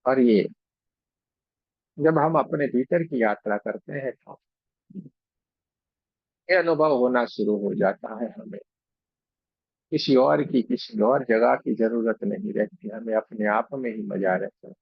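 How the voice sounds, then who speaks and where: A man leads a guided meditation in a mid-pitched, creaky voice. He speaks very slowly and devotionally, pausing between short phrases. The sound is close and dry, though somewhat dull. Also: no room sound. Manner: gentle, unhurried, devotional, phrase by phrase. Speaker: meditation guide and Reiki teacher. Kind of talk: guided meditation, slow spoken instruction with pauses.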